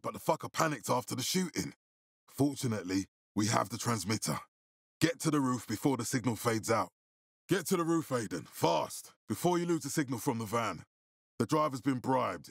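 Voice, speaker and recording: An actor playing an authoritative, blunt militia leader with a gravelly voice in a zombie apocalypse video game. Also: male voice, a little high, dry recording, clean studio sound. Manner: authoritative, urgent, giving blunt orders. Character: commanding, hard-swearing male militia leader in a zombie apocalypse. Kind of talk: video game dramatic acting.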